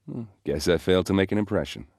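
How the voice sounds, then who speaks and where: Gruff warrior, video game character, hard-drinking, friendly, boastful, wry, dry studio sound. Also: male voice, low voice, expressive, fast, darkish tone, dry recording, exaggerated voice. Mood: casual, wry. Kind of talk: game dialogue and combat barks.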